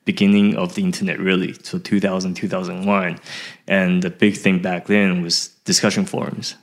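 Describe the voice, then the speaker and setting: A middle-aged man from Taiwan chatting casually as an interview guest, often halting and repeating words. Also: low voice, level tone. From Taiwan, later Australia and Canada. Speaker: podcast guest, fishing website founder.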